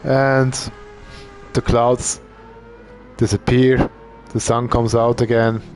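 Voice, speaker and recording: A man doing casual live commentary on a sim racing event. He thinks out loud as he talks and uses fillers. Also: mid-range voice, slow, faint music behind. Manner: relaxed, thinking aloud, with fillers.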